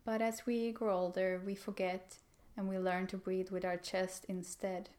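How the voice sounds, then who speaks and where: A woman guides a meditation in a gentle, calm voice. Her pitch sits in the middle and moves very little, giving an even, steady delivery. She gives simple instructions without hurry, leaving pauses between phrases.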